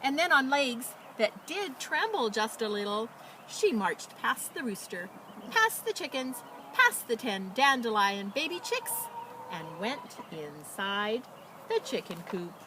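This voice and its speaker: A woman reading aloud as a children's storyteller, with expressive, dramatic emphasis and casual delivery. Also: a little high, background noise. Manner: animated, dramatic emphasis and drawn-out words.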